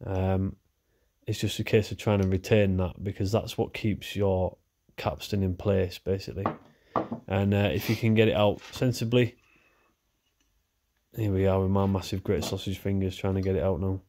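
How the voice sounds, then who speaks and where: Low-voiced man, nasal from a cold. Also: moderate pace, slight background noise. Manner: chatty, relaxed, talks while working with hands. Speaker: repair video host. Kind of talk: casual repair walkthrough.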